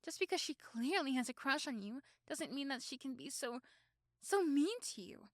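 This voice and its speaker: A high, closely recorded young female voice acted by a woman plays a possessive schoolgirl girlfriend in an ASMR roleplay. She speaks straight to her boyfriend, doting and protective with a menacing edge. Pauses and repeated words make her delivery dramatic and intense. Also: very animated, no echo, studio quality. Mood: guilty, hurt. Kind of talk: ASMR roleplay acting addressed to the listener.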